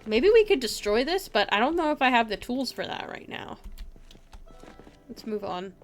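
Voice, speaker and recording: A woman's voice: fairly high pitch, big pitch swings, normal speed, faint music behind. A woman streaming a video game live with casual, chatty commentary, often muttering to herself and to game characters.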